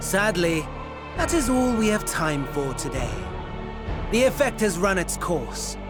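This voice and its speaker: A man's voice: high voice, sing-song, a little slow, over loud music. An actor playing a theatrical, mock-courteous male villain in a fantasy game. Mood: mock regretful.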